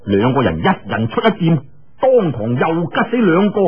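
A man's voice: a little high, huge pitch swings, normal speed, slightly rough, narrow, tinny audio. A man with a Cantonese accent telling a martial-arts story in a dramatic, colloquial audiobook style, full of exclamations.

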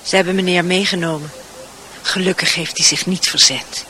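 Dutiful, reassuring housemaid. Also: female voice, on the low side, steady tone, normal speed, pushed and bright, a little hiss or hum, low-quality recording. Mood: reassuring, earnest. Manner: deferential, insistent. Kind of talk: radio drama acting.

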